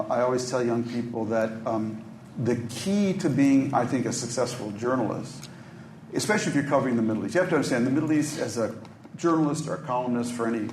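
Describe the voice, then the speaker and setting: A man's voice: mid-range voice, a little mellow. A man from Minneapolis, a journalist, giving thoughtful remarks on a conference panel, with frequent ums and restarts. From Minneapolis, USA. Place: hotel conference room. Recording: echoey conference room, faint noise.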